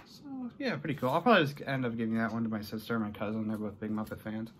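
A man's voice: fairly low pitch, lively intonation, fast talker, fairly bright tone, a little hiss or hum. A young adult American man chatting casually and unscripted to camera in a rambling way, as he shows off a haul in a small room. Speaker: vlogger showing a collection haul. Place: small bedroom, indoors.

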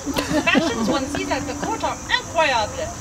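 A woman's voice: sits high, sing-song, normal speed. A woman voicing an idealistic, eager young French nobleman in a puppet show, speaking formally and declaiming. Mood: scornful. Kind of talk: theatrical puppet show dialogue. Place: outdoor puppet theatre, far camera. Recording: distant, very noisy outdoor recording.

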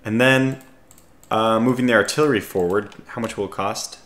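Guy doing a strategy lets play, deep-ish scratchy voice, thinking out loud, bit echoey room. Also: moderate pace. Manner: thinking aloud, calm and analytical. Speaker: gaming video host. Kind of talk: casual strategy game commentary.

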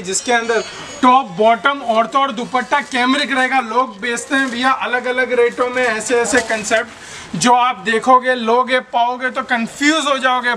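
A man in his twenties with an Indian accent giving a showman-like sales pitch to camera as a shop host. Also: pushed and bright. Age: 20s. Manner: showman-like patter, addressing viewers as brothers and sisters. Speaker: shop host selling wholesale suit fabric. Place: large textile shop warehouse, indoors.

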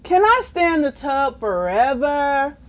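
A woman's voice: sits high, big pitch swings, a little slow. A woman voicing a stubborn, dramatic, cheeky storybook pigeon with exaggerated, whiny delivery. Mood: pleading. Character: stubborn, dramatic, cheeky male pigeon who hates baths. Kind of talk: children's storybook character reading.

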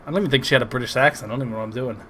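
A man giving casual, excitable, sarcastic commentary over a video game playthrough, swearing often. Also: mid-range voice, rapid-fire, slight background noise. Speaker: gameplay commentator. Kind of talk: casual let's play commentary.

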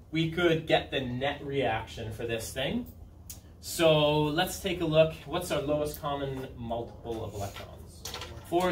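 A man's voice: on the high side, a bit bright. A man in his thirties teaching a class in an informal, conversational way, checking in with students as he goes. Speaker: high school chemistry teacher. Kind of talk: classroom lesson, asking students questions. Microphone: camera set back in the room. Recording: roomy, distant camera mic, room noise.

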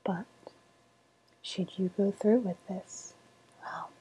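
A woman playing a sly, cooing woodland witch with a faint menace, in a breathy, softly spoken ASMR roleplay whisper. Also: medium pitch, fairly even intonation, low-quality recording. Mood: knowing, ominous. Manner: slow, savouring, coaxing delivery.

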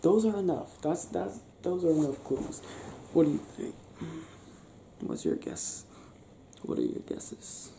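A young man doing an improvised ASMR boyfriend roleplay, talking softly and affectionately in a breathy, teasing, near-whispered way. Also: a little high, huge pitch swings, measured pace. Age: young adult. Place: small bedroom, lying on a bed indoors. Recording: roomy sound with faint background noise.

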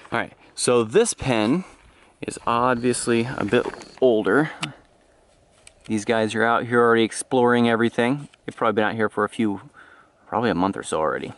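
Male vlogger, average pitch, informal and unscripted explainer style, handheld outdoor recording with slight background noise. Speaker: farm tour vlogger. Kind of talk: casual off-the-cuff walking tour narration. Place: outdoors in farm pens. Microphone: handheld action camera at arm's length. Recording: outdoor camera audio with noise and clicks.

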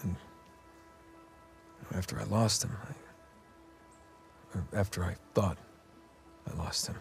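Weary, wry, lower-voiced adventurer. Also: male voice, steady tone, takes it slowly, creaky, soft background music, full character voice. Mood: reflective, subdued. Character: weary, wry adventurer man in his thirties, remorseful. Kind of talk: naturalistic cinematic game acting.

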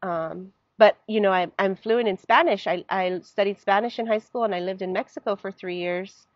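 A woman's voice: medium pitch, normal speed, no room sound, lo-fi sound. A middle-aged woman, a guest in an outdoor panel talk, recalling her experiences in a candid, reflective way. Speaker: guest, language educator. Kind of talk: casual panel conversation. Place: outdoors at a table in a leafy garden.

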